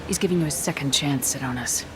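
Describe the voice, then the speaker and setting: Game lead: actress as steady military woman, low-pitched, persuasive, composed, set against city background noise. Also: fast talker, natural voice. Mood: persuasive, gentle. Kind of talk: video game cutscene acting.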